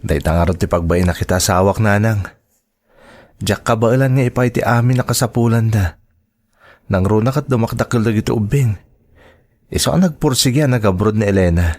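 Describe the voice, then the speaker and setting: A man with an Ilocano accent acting a gentle, devoted father in an audio drama, tender and reassuring. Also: on the low side, normal speed, pauses often, lightly acted. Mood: earnest, subdued. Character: gentle, devoted father of young children, wife working abroad.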